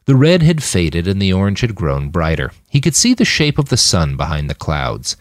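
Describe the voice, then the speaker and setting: A man reads scripted fiction as narrator. His voice sits low and moves widely in pitch, and the recording is dry with no audible room. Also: wildly swooping pitch, moderate pace, no echo. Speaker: audiobook narrator.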